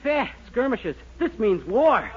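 A man's voice: very high voice, big pitch swings, takes it slowly, exaggerated voice. A man playing a naive, boyish young schemer in a 1940s radio sitcom. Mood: plucky scheming. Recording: muffled old radio recording with noise.

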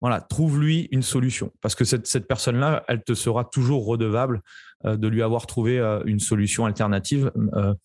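A man's voice: mid-range voice, steady tone, fairly quick, darkish tone, dry recording, studio quality. A man hosting an interview podcast, agreeing casually and speaking with many hesitations. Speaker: podcast host, coach.

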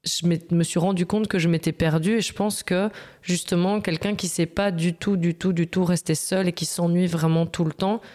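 A woman's voice: on the low side, fairly even intonation, normal speed, a little mellow. A woman in her thirties talking as a podcast co-host in a casual, slangy and candid personal conversation. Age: 30s. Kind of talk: casual, confessional podcast chat.

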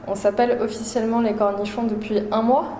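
A woman chef from Paris, talking about her career in a relaxed, halting way with many fillers. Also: on the high side, reverberant, a little hiss or hum. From Paris, France. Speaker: chef and restaurant owner, guest. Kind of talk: casual interview.

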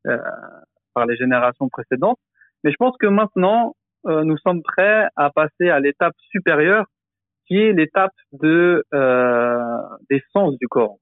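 A man who teaches the Quran, explaining slowly in a podcast discussion, hesitant, with many fillers and restarts. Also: on the high side, lively intonation, no echo, narrow, tinny audio.

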